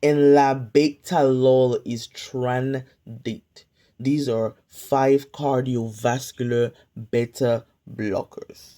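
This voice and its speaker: Casting: calm woman study tutor, slow deliberate delivery, mellow tone, home phone recording. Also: deep voice. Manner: calm, measured, question-and-pause drilling. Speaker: pharmacy tech study tutor. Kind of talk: slow flashcard-style quiz review. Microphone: phone camera filming whiteboard.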